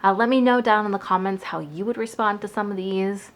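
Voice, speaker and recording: A woman's voice: medium pitch, steady tone, brisk. A young woman from the Boston area, a book vlogger chatting casually to camera, rambling with lots of asides.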